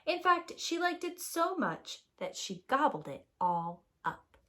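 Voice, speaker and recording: A woman reading a storybook aloud to young children as a preschool teacher, with expressive, emphatic storytelling. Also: sits high, takes it slowly.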